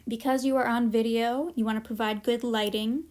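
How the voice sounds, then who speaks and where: High-voiced woman giving an informal workshop. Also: fairly even intonation, brisk, darkish tone. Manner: conversational, practical explaining with casual asides. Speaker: library workshop presenter. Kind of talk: informal instructional presentation.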